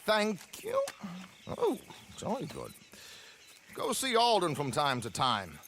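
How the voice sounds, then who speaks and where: Jovial, high-pitched old-West schemer. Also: male voice, wildly swooping pitch, slow, a bit bright, slight background noise, exaggerated voice. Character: jovial, avuncular male schemer, fond and theatrical. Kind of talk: video game cutscene acting.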